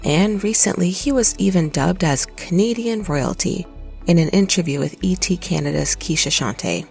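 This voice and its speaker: A narrator reading a scripted documentary in a steady, even way. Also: mid-range voice, normal speed, gravelly. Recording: light music underneath.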